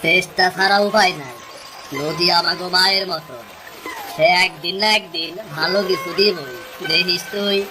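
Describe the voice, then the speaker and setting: A woman's voice: fairly low pitch, moderate pace, music in the background, natural voice. A woman voicing a poor, steadfast village fisherman with an East Bengali accent, encouraging and philosophical. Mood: hopeful, reassuring.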